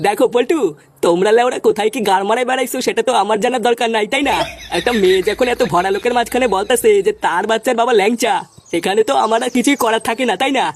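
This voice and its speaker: A performer voicing a bossy, blustering older village headman in a comedy cartoon, scolding and swearing in rural Bengali dialect, with a pitch-shifted effect. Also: rapid-fire. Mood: probing. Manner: pompous, scolding, foul-mouthed.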